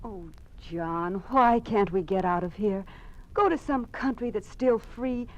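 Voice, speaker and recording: An actress playing a gentle, devoted fiancée in an old-time radio drama, speaking earnestly and with sympathy. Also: female voice, mid-range voice, unhurried, dull audio, close to an everyday voice. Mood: anxious, pleading.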